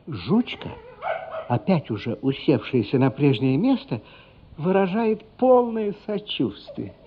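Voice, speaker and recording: An actor playing a grave storyteller, narrating a radio play with deliberate, theatrical, suspenseful phrasing. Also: male voice, fairly high pitch, big pitch swings, a little hiss or hum. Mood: measured. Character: grave, dramatic storyteller of a childhood tale. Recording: muffled radio drama recording.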